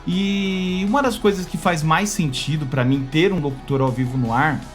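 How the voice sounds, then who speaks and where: A man's voice: a little high, steady tone, moderate pace, faint music behind. A Brazilian man talking informally and emphatically to his viewers in a vlog, with the conversational delivery of a former radio announcer. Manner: conversational and emphatic, often checking in with the listener. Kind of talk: informal vlog monologue with a numbered list.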